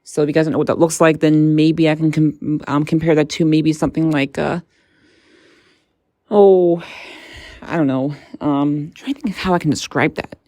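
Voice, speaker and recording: Casting: female gadget reviewer, low-pitched voice, informal and tentative, thinking aloud, very dry recording. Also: fairly even intonation, moderate pace, mellow, dry recording. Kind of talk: casual hands-on product review.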